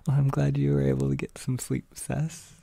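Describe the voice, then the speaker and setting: An American man hosting a casual livestream, talking softly and hesitantly into a close microphone, a little short on sleep. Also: mid-range voice, creaky, dry recording. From United States. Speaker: livestream host.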